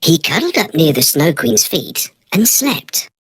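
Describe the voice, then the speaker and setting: A woman's voice: low voice, very animated, a little slow, gravelly, fairly bright tone, breathy edge, no room sound. A woman narrating a children's storybook from a script.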